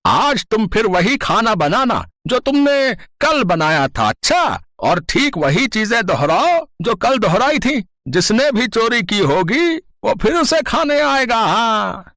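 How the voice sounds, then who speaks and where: Man acting a high-pitched, animated village man. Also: slow, hardly any pauses, no room sound, clean studio sound, full character voice. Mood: instructing. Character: nosy village men: cajoling neighbour, priest, headman. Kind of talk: animated moral-story character acting.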